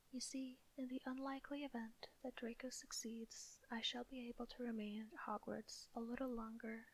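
A cold, guarded male wizard , whispered by a woman reading aloud with a breathy, husky hush and a flat, even delivery. His lines come out measured and unhurried, in a storybook reading. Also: a little high, rough recording.

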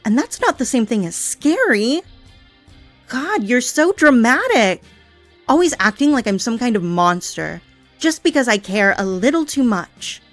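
A woman voicing an unstable, obsessive young woman in an audio roleplay, speaking in a breathy, sing-song coo that turns menacing. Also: high voice, big pitch swings, moderate pace, hardly any pauses, no echo, faint music behind. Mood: petulant.

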